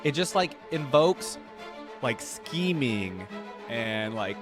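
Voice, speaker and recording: A man's voice: medium pitch, very animated, takes it slowly. A man hosting a casual reaction video, talking in a rambling, chatty way with lots of fillers and restarts. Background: faint music playing.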